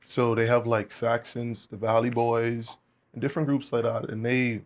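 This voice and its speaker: A young man from the Bahamas, a guest musician talking in a relaxed way, with many fillers. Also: medium pitch, normal speed. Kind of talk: casual interview chat.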